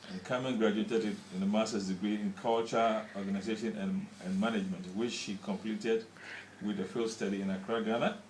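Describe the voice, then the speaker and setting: A man's voice: lowish voice, fairly even intonation, fairly quick, darkish tone, reverberant, a little hiss or hum, rough recording. Hesitant man hosting a radio interview. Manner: hesitant, many fillers. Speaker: radio show host.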